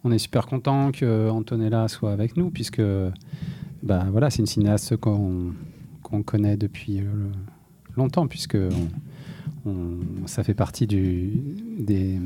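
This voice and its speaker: A man hosting a casual interview, asking questions in a relaxed, informal way with frequent fillers. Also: fairly low pitch, measured pace. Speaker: interviewer host.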